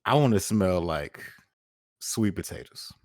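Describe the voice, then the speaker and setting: An American man co-hosts a casual podcast in a fairly deep voice. He talks in easygoing slang, and the sound is dry and close. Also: animated, a little slow, no room sound. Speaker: podcast co-host. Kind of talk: casual chat.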